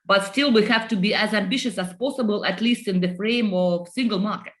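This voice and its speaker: Woman giving a conference speech. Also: mid-range voice. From Estonia. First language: Russian. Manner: earnest, halting with fillers and restarts. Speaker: member of parliament.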